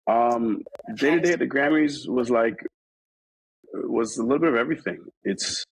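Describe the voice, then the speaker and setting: A man's voice: medium pitch, expressive, normal speed, gravelly, slightly rough, no echo, rough recording. A man from New York talking casually in an interview, rambling with many fillers and restarts. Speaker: interview guest.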